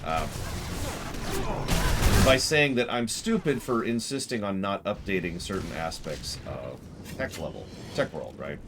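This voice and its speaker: Low-voiced, lively male streamer. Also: measured pace, background noise. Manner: wry, rambling. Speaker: game streamer. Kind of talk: casual livestream chat.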